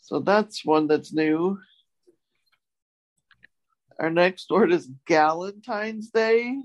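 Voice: medium pitch, drawn-out words. A co-host chatting casually and with good humour while presenting words. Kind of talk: casual chat, presenting new dictionary words.

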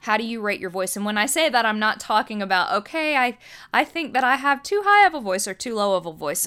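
A woman's voice: mid-range voice, sharp tone, clean tone. A middle-aged American woman hosting a podcast, explaining in an earnest teacher's manner and repeating words for emphasis. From United States. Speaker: podcast host and birth educator. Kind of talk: teaching monologue.

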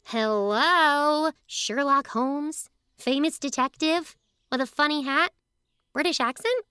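A woman playing a curious, imaginative young girl in a family audio drama, teasing and excitable. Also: sits high, takes it slowly, no echo, clean studio sound, exaggerated voice.

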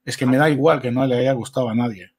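A man's voice: medium pitch, fairly even intonation, quick pace, a little mellow, slightly rough. A middle-aged man chatting casually as a podcast guest. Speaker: podcast guest, amateur filmmaker.

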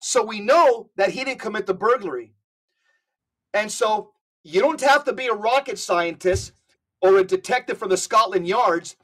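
A man's voice: high-pitched, moderate pace, a bit bright, low-quality recording. A man speaking as a podcast guest in emphatic conversational commentary, earnest and prone to restarting phrases. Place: California.

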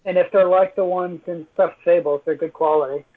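Brief: squeaky male co-host, quippy, phone-quality audio. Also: fairly even intonation, fairly quick. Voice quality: naturally squeaky voice. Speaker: podcast co-host. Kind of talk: casual chat. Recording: tinny phone-like remote line, far from mic.

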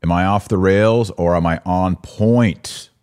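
A man's voice: low voice, unhurried, a little mellow, clean tone. A man hosting a podcast, giving opinionated live commentary in an emphatic way, with rhetorical questions and asides to his listeners. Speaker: podcast host.

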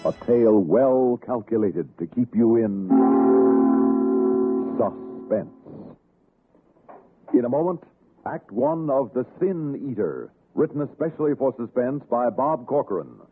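Vintage suspense announcer, male, mid-pitched, narrow tinny radio sound. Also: expressive, measured pace, loud music behind the voice. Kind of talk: 1960s radio drama announcing.

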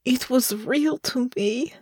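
A woman voicing a tender, remorseful female lover in an audiobook, soft and thick with tears. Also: sits high, slow pace, breathy edge, dry recording, clean studio sound, voice pushed up, heavily acted. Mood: pleading. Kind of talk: audiobook character acting.

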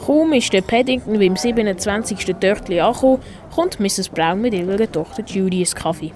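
A woman's voice: medium pitch, lively intonation, fairly quick, a little mellow. A woman with a Swiss German accent narrating a scripted radio play for children. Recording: faint background noise.

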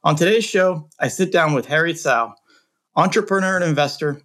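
A man hosting an interview podcast, upbeat and chatty, often pausing on fillers and restarts. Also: on the high side, fairly bright tone. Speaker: podcast host. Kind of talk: casual interview chat.

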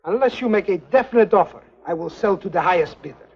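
An actor playing a jovial, slippery, greedy European go-between who sells secrets in a 1950s television spy drama, with formal phrasing. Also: male voice, high-pitched, lively intonation, quick pace, breathy edge, heavily acted. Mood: businesslike, greedy. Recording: dull 1950s TV film soundtrack.

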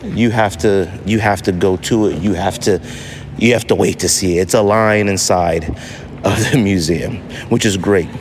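A man's voice: low voice, normal speed. Casual man podcasting over background noise. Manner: animated, slangy, acts out imagined quotes. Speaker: podcast host. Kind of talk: casual opinionated podcast monologue.

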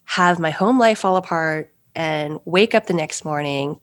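A young woman and pastry chef answering interview questions earnestly, with soft laughs between thoughts. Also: low voice, a little slow, no room sound, studio quality. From Los Angeles area, US. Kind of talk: reflective interview talk.

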